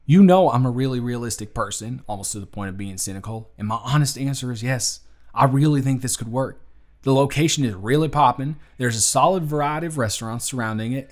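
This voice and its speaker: A man's voice: medium pitch, a little fast, natural voice. A man with a Southern US accent playing an earnest, charming young bartender in love in an intimate audio roleplay. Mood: earnest, admiring. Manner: earnest, conversational, sweet-talking. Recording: music playing under the voice. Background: acoustic music.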